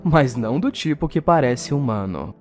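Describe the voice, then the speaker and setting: Brazilian male YouTube narrator, very lively pitch movement, chatty horror explainer delivery with music underneath. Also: normal speed. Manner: chatty, addresses viewers directly. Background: background music.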